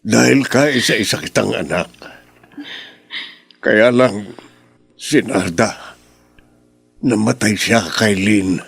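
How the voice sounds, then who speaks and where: Radio drama role: indulgent middle-aged father, low gravelly voice, heavy and reassuring. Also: takes it slowly, long pauses, a bit bright, dry recording, soft background music, exaggerated voice. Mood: grave, protective. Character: grave, indulgent father protecting his only daughter.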